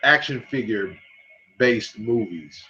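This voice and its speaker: A man's voice: lowish voice, expressive, takes it slowly, sharp tone. A man chatting casually on a podcast, rambling with many restarts and some swearing. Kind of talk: casual chat about movies. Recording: dull lo-fi mic.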